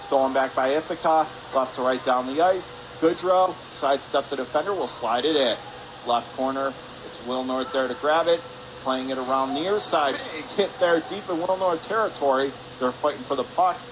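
A man's voice: medium pitch, normal speed. A man calling live hockey play-by-play for radio, in clipped, urgent phrases as the action moves. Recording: clear arena noise behind voice. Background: ice rink ambience.

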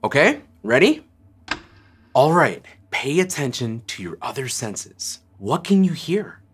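A man in his thirties hosting a children's science lesson, speaking brightly and encouragingly to the camera and to a puppet sidekick in a studio. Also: medium pitch, very animated, slow, husky. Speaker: children's science show host. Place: studio set.

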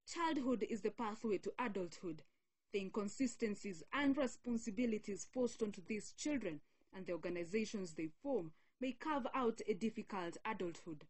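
A young woman reporter reading a scripted news feature in a measured, solemn way. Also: medium pitch, expressive, slight background noise.

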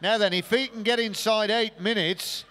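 A man's voice: high voice, fairly even intonation, moderate pace, bright, forceful tone. A man giving live swimming race commentary as the commentator.

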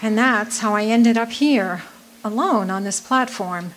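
An actress playing a bewildered woman stranded alone on a journey, telling her story in a measured radio drama monologue. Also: fairly high pitch, very noisy, lightly acted. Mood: bewildered, reflective.

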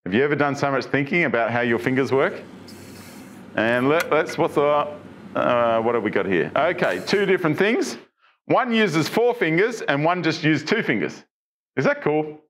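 A man's voice: on the high side, big pitch swings, moderate pace, fairly bright tone, a little hiss or hum. Chatty middle-aged man presenting tech news. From Australia. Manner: chatty, many fillers and restarts, asks viewers questions. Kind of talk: casual tech news walkthrough. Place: studio with branded backdrop.

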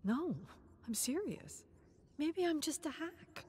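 A woman's voice: sits high, animated, slow pace, dark tone, slight background noise, pitched above the performer's own voice. A woman voicing an anxious, self-doubting young woman who sounds hesitant, worried and vulnerable in a dramatic story.

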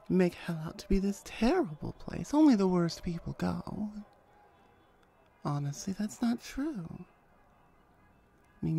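Woman playing a husky, slow-talking flirty female hellhound. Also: fairly low pitch, warm tone, heavily acted. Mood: wry, reassuring. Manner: conversational, hesitant pauses, wry. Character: flirty, laid-back female hellhound showing a newcomer around hell. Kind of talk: ASMR audio roleplay, addressing the listener.

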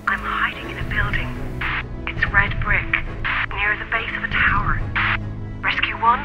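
A woman's voice: mid-range voice, fairly even intonation, a little slow, natural voice, rough recording. Woman playing a plaintive woman calling for rescue. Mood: anxious, searching. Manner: plaintive, beseeching. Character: pleading, lonely woman calling for rescue inside game. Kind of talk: audio drama acting. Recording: loud music behind.

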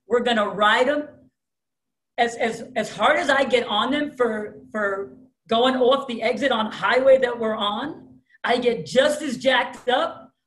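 A middle-aged woman with a thick New York accent talks plainly and bluntly, a self-styled alpha female. Her voice sits a little high and comes through a webcam in a room at home. The video-call sound is noticeably roomy and echoey. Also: a bit bright. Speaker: high school strength coach, guest. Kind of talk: casual interview talk.

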